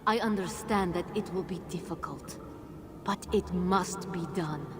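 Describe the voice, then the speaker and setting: An actress playing a mysterious, wise female guide in a video game cutscene, speaking in a grave, measured, authoritative way. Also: fairly low pitch, noisy background, lightly acted. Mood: firm, urging.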